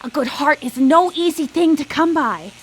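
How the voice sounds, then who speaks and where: A high-voiced, grandiose mad scientist. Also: female voice, lively intonation, normal speed, soft background music. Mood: brooding, intense. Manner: grandiose, theatrical, formal speech. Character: obsessive, ruthless female mad scientist, Mary. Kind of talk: live radio drama acting.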